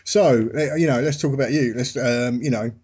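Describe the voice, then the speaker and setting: A man from the United Kingdom hosts a relaxed interview, chatty and friendly in his wording. He halts often and fills the gaps with ums and uhs. His voice sits at an ordinary middle pitch for a man. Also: slightly rough. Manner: chatty, halting, many fillers. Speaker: interview host. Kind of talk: casual interview chat.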